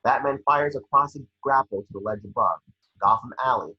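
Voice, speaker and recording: A man with a husky voice reading scene descriptions at a table read, sometimes stumbling over the script. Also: medium pitch, a little fast, lo-fi sound.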